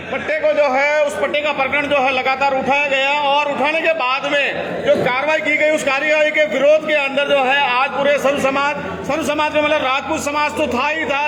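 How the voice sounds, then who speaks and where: A man reports from a press conference in a loud, insistent delivery, his voice sitting very high. The hall echoes around him. A crowd chatters behind. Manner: loud emphatic wrap-up with many fillers. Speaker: news reporter. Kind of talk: field news report.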